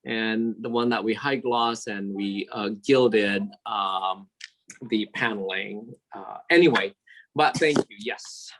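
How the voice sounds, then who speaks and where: A man born in Indonesia with Javanese as his first language, an interior designer talking warmly about his work from his office in a casual interview, often pausing on fillers. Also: on the low side, poor audio. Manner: warm, sentimental, halting with many fillers. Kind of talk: casual interview over video call. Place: his office at a city store.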